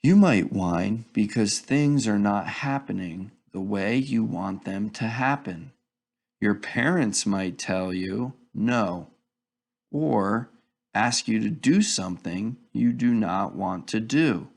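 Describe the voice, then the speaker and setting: Slow-paced, gravelly man reading a children's book. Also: fairly low pitch, animated, a little mellow. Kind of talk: read-aloud storybook narration.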